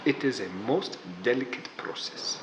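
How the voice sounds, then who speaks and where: A man's voice: mid-range voice, expressive, normal speed, background noise, lightly acted. A man playing a scheming mad-scientist villain in a radio drama, gloating and theatrical. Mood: menacing. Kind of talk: 1940s radio drama acting. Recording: roomy echo.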